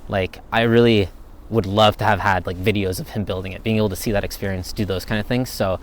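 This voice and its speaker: A man's voice: fairly low pitch, steady tone, quick pace. A young man answering viewer questions in a casual, rambling chat, thinking aloud as he goes. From United States. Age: young adult.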